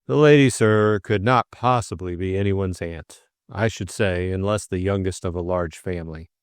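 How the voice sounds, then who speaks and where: A man voicing a stiff, deferential valet in an audiobook, speaking in a measured, unflappable, scrupulously polite way. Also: deep voice, smooth, no room sound, clean studio sound, lightly acted. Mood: implacable, formal. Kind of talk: audiobook character acting.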